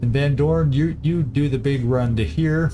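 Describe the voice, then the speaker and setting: A man's voice: medium pitch, moderate pace, noisy background. Man musing through game moves. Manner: thinking aloud, hesitant restarts while planning moves. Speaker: gameplay commentator. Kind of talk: casual let's-play commentary. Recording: game music playing behind.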